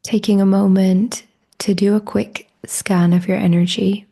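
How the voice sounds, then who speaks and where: A woman leading a guided meditation in a hushed, breathy voice that often drops close to a whisper, with slow, gentle invitations. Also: medium pitch, level tone.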